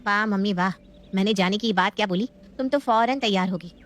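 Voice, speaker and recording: A woman's voice: mid-range voice, fairly quick, natural voice. A woman playing a proud, easily miffed young married sister in a family story, chatty and emphatic. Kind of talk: scripted family story acting.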